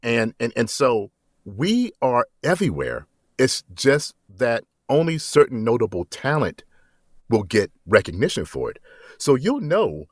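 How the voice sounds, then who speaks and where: Low-voiced man, easygoing interview talk. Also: no room sound, studio quality. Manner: relaxed, explains with frequent "you know". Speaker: voice actor guest. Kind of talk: conversational interview.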